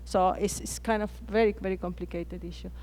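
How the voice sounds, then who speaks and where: A woman's voice: mid-range voice, steady tone, brisk, a little mellow, low-quality recording. A Chilean woman whose first language is Spanish, speaking on a conference panel with frequent hesitations and restarted words. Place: conference plenary hall.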